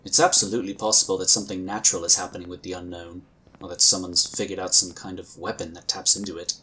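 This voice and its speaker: A man playing a calm, diplomatic male inquisitor who talks in a measured, courteous way. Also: low-pitched, slight background noise, low-quality recording. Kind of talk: fan audio drama acting.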